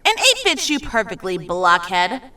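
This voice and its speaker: A woman's voice: medium pitch, huge pitch swings, unhurried, background noise. A woman playing a spirited, teasing teenage girl hero in an audio drama. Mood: playful, teasing. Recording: distant mic, faint music.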